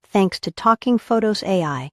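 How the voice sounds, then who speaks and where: A woman's voice: mid-range voice, animated, normal speed, dry recording, clean studio sound, close to an everyday voice. A woman voicing a sweet, friendly young kitten in a scripted cartoon promo. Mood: sweet, promotional.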